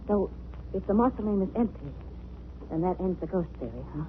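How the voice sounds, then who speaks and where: A woman's voice: mid-range voice, lively intonation, a little fast, natural voice. Actress as a nervous woman, hissy radio sound. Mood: uneasy. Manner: apprehensive, theatrical. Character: nervous lady companion of a sleuth, spooked by ghosts. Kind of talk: 1940s radio acting. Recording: very hissy, phone-like 1940s radio recording.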